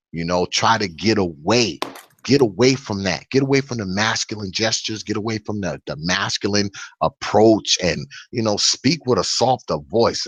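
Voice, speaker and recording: A man's voice: fairly low pitch, no echo. A man speaking as an interview guest, blunt and opinionated, filling his speech with "you know". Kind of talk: casual video interview chat.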